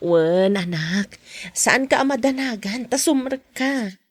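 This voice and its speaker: A woman playing a husky, high-voiced caring mother. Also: animated, takes it slowly, no echo, full character voice. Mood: reassuring. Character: caring wife and mother, anxious about money, soft-spoken. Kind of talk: podcast drama dialogue.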